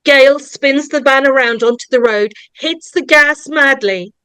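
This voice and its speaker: A woman narrator reading horror screenplay stage directions in a dramatic, suspenseful way, with deliberate pauses. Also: high-pitched, a bit bright, dry recording. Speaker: narrator of a script table read.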